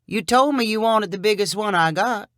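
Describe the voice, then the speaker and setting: A woman's voice: medium pitch, normal speed, dry recording, studio quality, pitched above the performer's own voice, natural voice. Woman putting on a folksy, drawling rural Southern man. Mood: easygoing. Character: laid-back rural Southern man, a catfish seller. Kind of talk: audiobook character dialogue.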